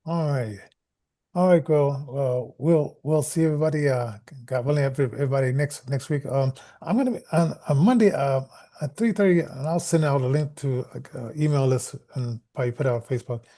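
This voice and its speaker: A man's voice: mid-range voice, brisk, mellow. A man hosting a casual, unscripted show, talking in an informal, rambling way. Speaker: podcast host.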